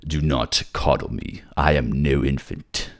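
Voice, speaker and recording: A man's voice: rumbling low, a little slow. A man playing a proud, ruthless, volatile king in a fantasy audio drama, with a menacing, formal manner. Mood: indignant.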